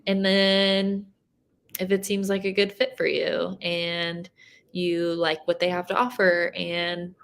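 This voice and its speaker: A young woman, a guest athlete, chatting casually on a podcast, relaxed and laughing often. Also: on the low side, takes it slowly, creaky.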